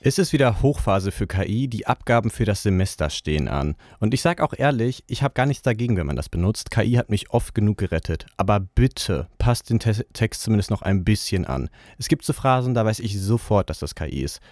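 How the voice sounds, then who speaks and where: A young man presenting on the radio in a relaxed, chatty way, using casual youthful slang. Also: lowish voice, brisk, few pauses, no echo, clean studio sound. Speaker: radio host.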